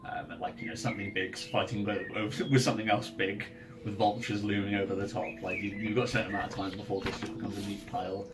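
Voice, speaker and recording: A man's voice: on the low side, little pitch movement, fast. A man chatting casually as game master of a tabletop game, explaining things informally and making it up as he goes. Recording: music playing underneath. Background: ambient music.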